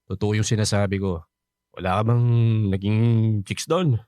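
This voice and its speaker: A man's voice: lowish voice, moderate pace, a little mellow, no room sound, clean studio sound, lightly acted. A Filipino man playing a hearty, teasing widowed father chatting with an old friend in a radio drama.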